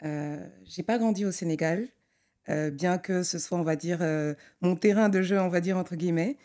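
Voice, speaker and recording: Woman, young adult, grew up in Togo, architect guest, low voice, soft mellow tone, hesitant thoughtful phrasing. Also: moderate pace. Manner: thoughtful, many fillers and restarts. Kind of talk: conversational interview.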